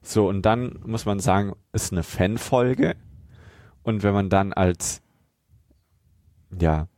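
A man with a deep, low voice talks as a podcast co-host. He gives a casual review in a very dry, close-sounding recording. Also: slow, darkish tone, no echo.

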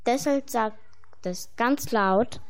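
A woman reads as narrator of a children's fairy tale. Her voice is fairly high, and the delivery is scripted storytelling. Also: takes it slowly.

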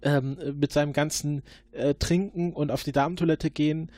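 A man improvising questions and deductions in a role-play investigation, haltingly repeating words as he thinks. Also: a little high, fairly even intonation, darkish tone, no echo. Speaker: player questioning suspects in an investigation game.

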